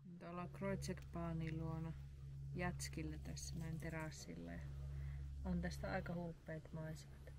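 A woman's voice: low-pitched, level tone, loud music behind the voice. A woman casually narrating a travel vlog outdoors, chatting about the views as she walks. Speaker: travel vlogger. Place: outdoors on a mountain viewing platform and trails.

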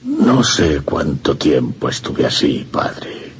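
An actor with a Castilian Spanish accent playing a tormented, lovesick young priest, brooding and impassioned in a radio drama monologue. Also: male voice, very deep voice, big pitch swings, slow, husky, heavily acted. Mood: confiding. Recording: music under the voice. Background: music.

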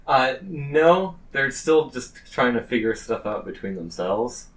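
A man's voice: mid-range voice, animated, a little slow, a bit bright, far from the mic. A man running a tabletop game as game master, narrating casually.

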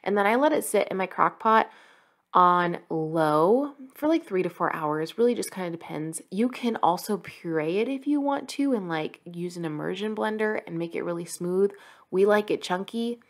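A woman's voice: on the low side, lively intonation, normal speed. A young adult woman chatting casually over a home cooking vlog, upbeat and full of filler words. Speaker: vlogger. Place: home kitchen.

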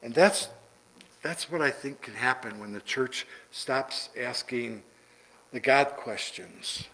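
Slow, deliberate middle-aged man preaching. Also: mid-range voice, gravelly, pushed and bright, rough recording. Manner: slow and deliberate, repeating words for emphasis. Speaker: pastor. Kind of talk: sermon. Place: church sanctuary, large indoor room.

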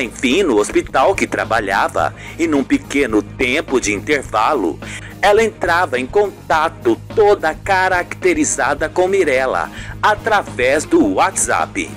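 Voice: medium pitch, sing-song, measured pace, soft background music. A narrator telling a scripted radio soap opera story.

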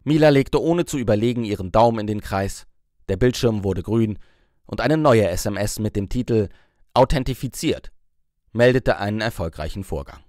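A man's voice: fairly low pitch, animated, normal speed, a little mellow, no room sound. Male audiobook narrator, close dry sound. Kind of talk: scripted narration of a novel.

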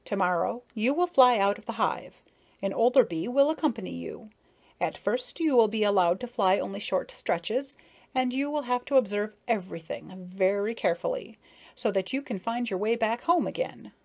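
A woman's voice: medium pitch, animated, moderate pace, gravelly, a little mellow. A woman plays an elderly female bee who teaches the young in a children's storybook. She is strict and fussy, lecturing with exasperated, sighing firmness. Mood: instructive, firm. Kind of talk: children's storybook character reading.

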